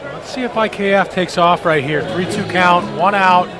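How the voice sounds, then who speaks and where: A man's voice: high-pitched, normal speed, music in the background. A man with an American accent giving conversational color commentary on live baseball, explaining tactics in long running sentences. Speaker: radio baseball color analyst. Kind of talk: live analytical sports commentary.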